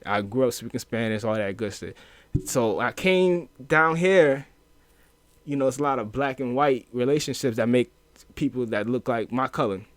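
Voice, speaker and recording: A man talks loosely on a podcast, full of fillers and swearing, getting animated as he retells his past. His voice sits at a middle pitch. It is recorded dry and close, with no room echo. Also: moderate pace. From US inner city, moved to Louisiana. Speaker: podcast guest. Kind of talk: casual chat.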